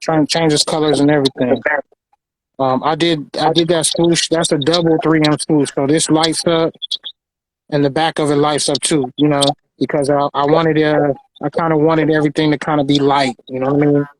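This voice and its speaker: American male collector, fairly high-pitched, chatty and informal, doubles back on phrases. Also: flat delivery, normal speed, slight background noise, low-quality recording. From Los Angeles, United States. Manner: repeats phrases, informal slang. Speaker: sneaker collector and designer presenting his pairs. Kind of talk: casual show-and-tell chat.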